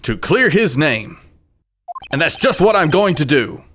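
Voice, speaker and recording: A man's voice: a little high, big pitch swings, measured pace, slight background noise. A man playing an earnest, hesitant young defense lawyer in a video game fandub, given to stammering under pressure. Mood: earnest narration. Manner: hesitant, stammering when put on the spot. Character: earnest, nervous rookie male defense lawyer in his twenties. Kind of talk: game fandub acting with inner monologue. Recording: loud music bed under the voice.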